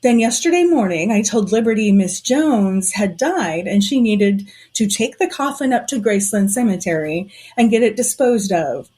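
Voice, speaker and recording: A woman playing a shrewd, suspicious conspirator in a period audio drama, telling things in a knowing, deliberate way. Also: mid-range voice, animated, warm tone, husky.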